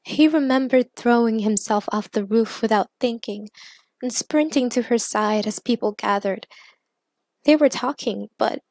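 A woman narrates a written fan fiction story. Her voice is a little high and she reads slowly and expressively. The recording is dry, with little room sound. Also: a little mellow, dry recording. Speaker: narrator and author.

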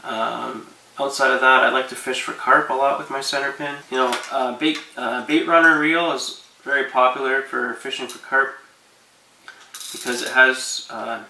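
A man in his thirties giving relaxed, rambling fishing advice to camera from his living room couch, with lots of fillers. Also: medium pitch, creaky, roomy sound, distant mic, slight background noise, rough recording. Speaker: fishing vlogger.

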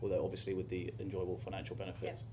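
A man who grew up in Hong Kong, speaking as a parent on a panel in a lecture hall, measured and hesitant. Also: low voice, completely flat delivery, a little hiss or hum. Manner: measured, hesitant with many ums. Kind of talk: panel discussion. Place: lecture hall stage before an audience.

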